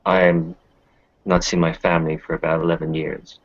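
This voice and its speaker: A man playing a worldly, wry doctor who gives frank, slightly condescending advice in tabletop roleplay, with a gravelly, husky voice. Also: sits low, little pitch movement, normal speed. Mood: gently correcting. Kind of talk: improvised tabletop roleplay dialogue. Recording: dull lo-fi voice recording.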